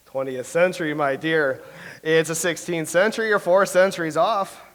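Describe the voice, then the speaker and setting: An actor playing a nervous, self-doubting Elizabethan playwright who stammers and speaks theatrically in a staged reading. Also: male voice, fairly high pitch, steady tone, moderate pace, a bit bright, natural voice. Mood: pompous. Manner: theatrical, fretful, stammering soliloquy. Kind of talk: staged reading of a comedy play.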